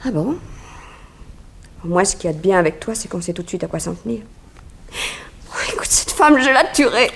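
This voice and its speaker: A woman playing a detective's spirited, inquisitive companion in a 1960s French radio drama, expressive and questioning. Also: medium pitch, moderate pace, gravelly, long pauses, slight background noise. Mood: jealous, wounded.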